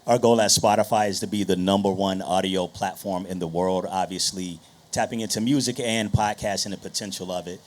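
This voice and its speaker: A man's voice: low voice, fairly even intonation, a little fast. A man moderating a panel discussion, putting questions to the guests and speaking as an industry executive.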